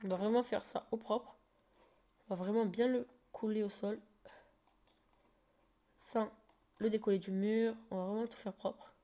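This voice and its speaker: Woman with a slight cold, dark-toned voice, halting casual tutorial delivery at a desk mic. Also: medium pitch, steady tone. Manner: hesitant, full of fillers and restarts. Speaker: game tutorial maker. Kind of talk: casual screen-recorded game tutorial.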